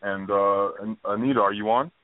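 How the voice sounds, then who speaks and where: Low-voiced man on a tinny phone line. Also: steady tone, moderate pace, no room sound. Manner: rambling with many fillers. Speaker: podcast host. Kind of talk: casual interview chat.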